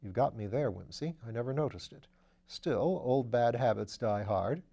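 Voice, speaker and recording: Brief: man reading a plain-spoken skeptical detective, mellow voice, storybook style. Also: medium pitch, lively intonation, normal speed, creaky. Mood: matter-of-fact. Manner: plain, matter-of-fact, skeptical. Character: level-headed male police detective friend. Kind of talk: audiobook character voice.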